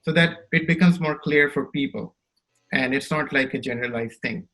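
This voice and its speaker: Male podcast host, mid-pitched voice, thoughtful and measured, frames long questions. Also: a bit bright, poor audio. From Saudi Arabia. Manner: thoughtful, builds questions at length, checks in with "right?". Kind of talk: interview questions.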